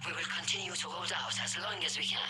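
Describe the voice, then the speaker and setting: A woman's voice: fairly low pitch, steady tone, quick pace, natural voice. A woman playing a resolute, grave alien officer in a video game, giving a formal, restrained report over a noisy radio. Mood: grave, worried. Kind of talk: video game character acting. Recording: noisy battle radio transmission.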